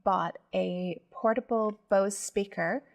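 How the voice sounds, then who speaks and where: A woman's voice: mid-range voice, takes it slowly, creaky, dull audio. Chatty woman hosting a podcast. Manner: chatty, animated storyteller, frequent agreeing "yeah". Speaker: podcast host. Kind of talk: casual podcast chat.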